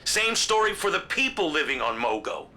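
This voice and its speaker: A cocky space-cop hero with a piercingly bright, forceful, fairly high voice. He talks bluntly and with swagger, video game style, over faint background noise. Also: very animated, moderate pace, full character voice. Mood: cocky, urgent. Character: brash, cocky adult male space-cop superhero.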